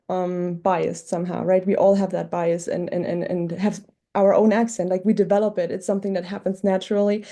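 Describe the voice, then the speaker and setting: A woman's voice: mid-range voice, dark tone. A woman from Germany with a German accent, giving an informal academic talk in a reflective, hesitant, self-correcting way. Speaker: multilingual scholar presenting at a book talk.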